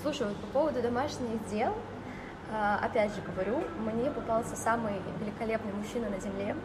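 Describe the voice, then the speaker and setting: Young woman guest chatting casually, slangy, highish voice, really noisy recording. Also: brisk. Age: young adult. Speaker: podcast guest, blogger and brand founder. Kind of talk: casual conversational interview answers. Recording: very noisy ambient background.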